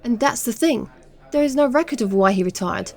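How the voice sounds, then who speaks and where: A woman's voice: on the high side, animated, a little fast, soft background music. Cheeky, probing woman investigator, acted by a woman. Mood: probing, breezy. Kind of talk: audio drama acting.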